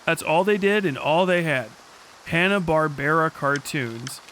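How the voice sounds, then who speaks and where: A man's voice: fairly high pitch, animated, unhurried, a bit bright, slight background noise, low-quality recording. A man chatting informally as a livestream host, sweary and talking straight to his viewers. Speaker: livestream host reacting to videos. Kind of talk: casual chat commentary.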